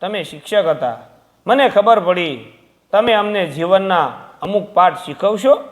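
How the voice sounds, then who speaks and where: A man's voice: high voice, animated, unhurried. A man voicing a friendly, respectful elderly retired music teacher in a moral story, politely inviting. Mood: friendly request.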